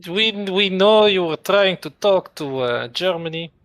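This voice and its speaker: A man voicing a pushy, scheming Russian leader in a voice-chat roleplay, with a put-on Russian accent and halting, bargaining talk. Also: high voice, expressive, fairly bright tone, no room sound, clean studio sound, full character voice.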